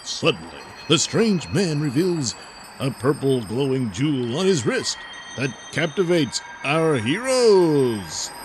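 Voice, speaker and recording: A man's voice: a little high, very animated, slow pace, slight background noise. A man narrating as the announcer of a radio serial, in a melodramatic, theatrical style.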